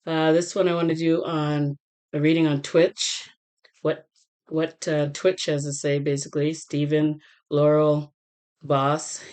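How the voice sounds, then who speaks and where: A woman tarot reader talking casually to her viewers, halting with many fillers and restarts while getting over a cold. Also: low-pitched, darkish tone, clear, resonant tone. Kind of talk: casual rambling vlog talk.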